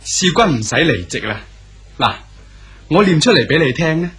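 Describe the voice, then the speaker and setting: An actor playing a friendly scholar guest in a Cantonese radio drama, coaxing and cheerful. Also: male voice, on the high side, big pitch swings, normal speed, fairly bright tone, breathy edge, full character voice.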